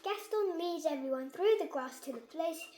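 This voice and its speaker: A woman's voice: extremely high pitch, animated, normal speed, dark tone, faint music behind. A young girl, about six, reading a children's story aloud with careful, sometimes stumbling delivery. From Kerala, India. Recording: echoey room.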